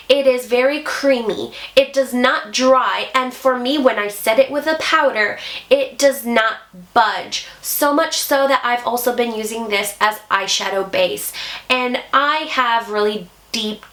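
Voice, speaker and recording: A woman with a cold, hoarse and a little sniffly, chatting enthusiastically through a makeup review in a casual vlog. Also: medium pitch, a bit bright. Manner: chatty, enthusiastic, repeats praise words. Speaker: beauty vlogger. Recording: echoey room.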